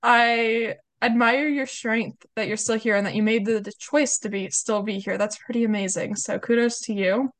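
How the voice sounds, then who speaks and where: A young woman with a cold hosts a casual podcast chat. Her voice sits a bit high. She is upbeat and chatty, quick to apologize. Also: fairly even intonation. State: has a cold. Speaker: podcast host.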